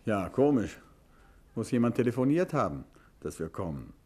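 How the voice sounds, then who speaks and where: An actor playing a calm, courteous middle-aged husband and investigator in a 1950s German radio drama, with a husky voice. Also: male voice, on the low side, normal speed. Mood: calm, pondering.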